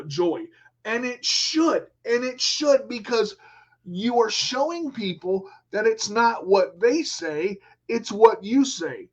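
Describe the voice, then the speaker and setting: A man with a high, bright-sitting voice hosts a radio talk show, speaking in an emphatic motivational way. He repeats his key lines and keeps checking in with listeners. The recording is dull and lo-fi. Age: adult with a son. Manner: emphatic, repetitive, talks straight to listeners. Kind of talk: motivational monologue.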